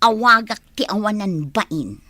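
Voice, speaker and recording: A woman's voice: medium pitch, expressive, measured pace, pushed and bright, no room sound. A woman playing a timid young daughter and employee in an Ilocano radio comedy drama, with an Ilocano accent. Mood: grumbling. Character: young daughter, timid employee afraid of losing her job.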